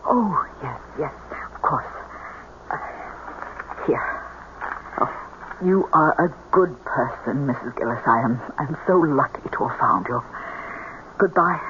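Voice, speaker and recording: A woman's voice: very deep voice, lively intonation, measured pace, pauses often. An actress playing a lonely, gullible middle-aged mother in grief, tremulous, pleading and hesitant, in an old radio drama. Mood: meek, grateful. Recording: dull, noisy old radio broadcast.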